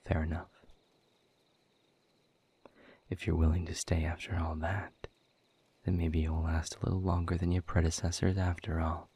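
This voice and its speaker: A man playing a weary, bitter demon captive in an audio roleplay, speaking in a husky, breathy voice with measured, sardonic formality. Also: low voice, little pitch movement, mellow. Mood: dry, composed. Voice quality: husky, breathy, often dropping toward a whisper. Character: weary, bitter, guarded male demon captive, quietly defiant. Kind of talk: scripted audio roleplay monologue.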